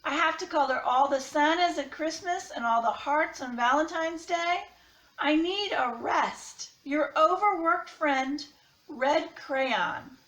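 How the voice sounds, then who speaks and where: In a roomy, echoing space, a woman reads as an overworked crayon from a storybook. The voice is high and slow, exasperated and emphatic about needing a break. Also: a bit bright. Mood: weary, insistent. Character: overworked, complaining red crayon pleading for rest. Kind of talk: children's picture-book read-aloud.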